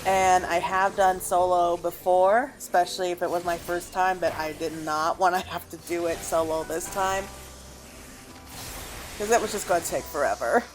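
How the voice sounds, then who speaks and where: A woman's voice: lowish voice, fairly even intonation, normal speed, soft background music. A woman giving casual let's play commentary as a game streamer, thinking aloud and reacting as she plays.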